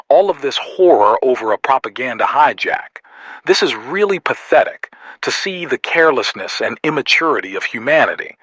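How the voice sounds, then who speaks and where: Man playing a grim official, slightly husky, dry. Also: mid-range voice, fairly bright tone, no room sound, low-quality recording. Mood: disapproving. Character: grim, disapproving male official reporting on a crisis. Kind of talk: scripted emergency scenario message.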